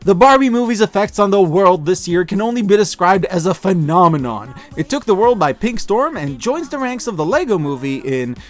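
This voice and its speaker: Animated man, high-pitched, reviewing casually. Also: brisk, hardly any pauses. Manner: casual, joking, wry. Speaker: host of a year-end media review show. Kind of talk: scripted review narration. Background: music behind the voice.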